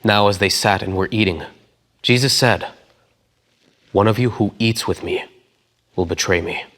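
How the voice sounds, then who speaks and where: A man's voice: lowish voice, moderate pace, fairly bright tone. A man giving a dramatic reading of scripture as a voice actor, acting out quoted dialogue with emphasis.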